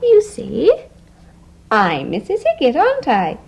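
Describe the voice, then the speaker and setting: An actress playing a bossy, quick-thinking young married woman with an upper-class English accent, brisk and polite, in a farce. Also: high-pitched, huge pitch swings, a little mellow, exaggerated voice. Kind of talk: theatrical farce acting.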